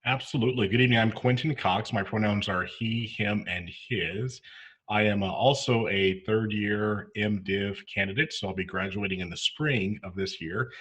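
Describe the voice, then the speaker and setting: Husky low-voiced man. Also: normal speed, a bit bright. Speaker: divinity student, panelist. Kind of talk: self-introduction on a panel.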